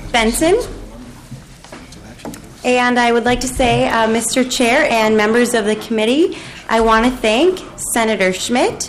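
Woman giving steady hearing testimony. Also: a little high, slight background noise. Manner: steady, measured first-person account. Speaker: committee witness. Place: legislative hearing room.

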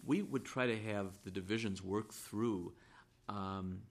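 A hoarse middle-aged man, a state senator who once chaired the finance committee, speaking deliberately in a legislative floor debate. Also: lowish voice. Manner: deliberate, supplementing a colleague's point.

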